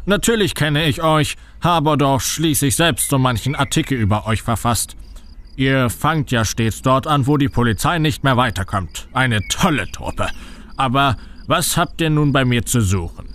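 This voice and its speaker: A man's voice: medium pitch, lively intonation, measured pace, fairly bright tone. An actor playing a shady, theatrical older male reporter in a comic radio play, speaking in an ominous, melodramatic way.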